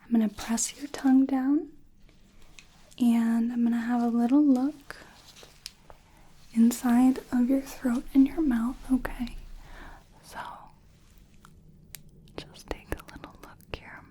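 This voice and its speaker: A woman acts out a gentle female clinician in an ASMR roleplay. Her soft, breathy voice slips often into whispers, mellow and very unhurried. She sounds attentive and reassuring, with faint noise behind her. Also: fairly high pitch, fairly even intonation, rough recording. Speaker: ASMR roleplayer playing a medical examiner.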